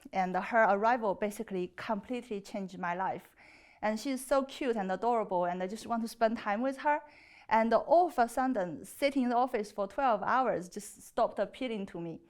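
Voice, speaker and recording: A woman in her thirties from China with a Chinese accent, giving an earnest, slightly hesitant personal pitch. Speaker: property developer pitching as a contestant. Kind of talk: personal pitch and chat on a TV show.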